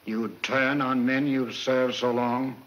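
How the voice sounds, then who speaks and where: An actor playing a calculating, vengeful professor of crime, measured and politely menacing, in 1940s film serial style on an old soundtrack. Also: male voice, mid-range voice, level tone, a bit bright, lo-fi sound. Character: calculating male criminal mastermind professor, bent on revenge.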